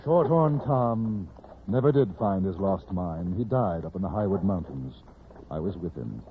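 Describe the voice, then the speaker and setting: An actor playing an urbane, wry gentleman traveler, articulate and formal, in old radio drama style. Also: low voice, lively intonation, a little slow. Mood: somber. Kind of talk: 1950s radio drama narration and dialogue. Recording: tinny, hissy old radio recording.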